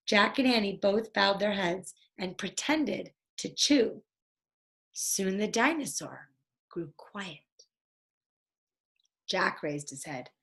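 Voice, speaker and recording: A woman reading a children's story aloud in a deliberate, expressive storytelling manner. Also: medium pitch. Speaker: storybook reader.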